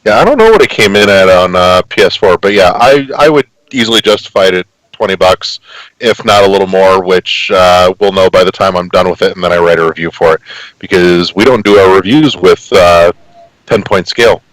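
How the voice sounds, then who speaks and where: A man's voice: sits low, brisk, a bit bright, no room sound, rough recording. Man, podcast guest, dry close sound, casual game talk, colorful swearing, hyperbolic. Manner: profane, hyperbolic description. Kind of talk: casual chat about video games.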